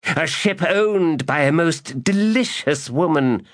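A male narrator voicing a scheming, lecherous mage who mutters his plots aloud, high and excitable, in an audiobook. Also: takes it slowly. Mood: gleeful. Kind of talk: audiobook character voice.

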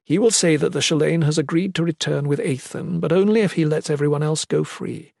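A man's voice: fairly high pitch, fairly quick, gravelly, darkish tone, dry recording, voice pushed up. A resolute, self-sacrificing mother as a male narrator plays her speaks earnestly and formally in a fantasy audiobook. The voice is set a little above his normal speech, close and dry. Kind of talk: fantasy audiobook character reading.

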